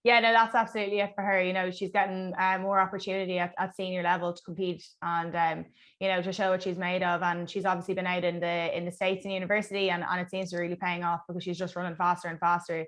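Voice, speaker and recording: A woman's voice: on the low side, little pitch movement, fast talker, fairly bright tone. A woman hosting a sports show, talking with many fillers and restarts before reading an intro. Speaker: host.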